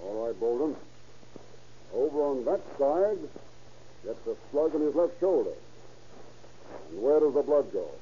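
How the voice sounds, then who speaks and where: Casting: shrewd homicide captain, deliberate and commanding, very muted dark tone, muffled old-time radio recording. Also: male voice, mid-range voice, big pitch swings, a little hiss or hum. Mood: deductive, certain. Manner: deliberate, deductive, authoritative. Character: shrewd, methodical male police captain, homicide detective. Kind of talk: 1940s radio crime drama acting.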